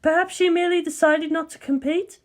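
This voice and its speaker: A woman voicing a composed, analytical female scientist in a story, measured, formal and sceptical. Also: very high voice, fairly even intonation, pitched above the performer's own voice.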